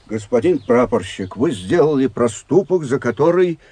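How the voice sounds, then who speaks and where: An actor playing an older, stiffly official yet kindly staff captain with a husky voice in a radio drama. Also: male voice, mid-range voice, lively intonation, moderate pace, mellow, no room sound. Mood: stern, official. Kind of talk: Russian radio drama acting.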